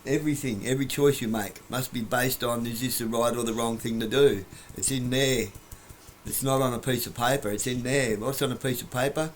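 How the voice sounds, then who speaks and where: A man's voice: mid-range voice, fairly even intonation, fairly quick, slight background noise. A man lecturing bluntly from his couch in a rambling monologue, breaking off words and laughing at himself. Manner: lecturing, blunt, rambling, trails off mid-word. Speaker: vlogger. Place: home, on a couch.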